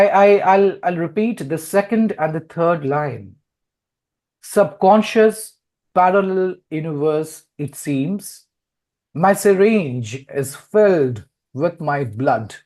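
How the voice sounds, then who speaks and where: A man hosting a literary interview in a deliberate way, often repeating his phrases. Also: fairly high pitch, darkish tone. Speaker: host, poet and publisher interviewing an author.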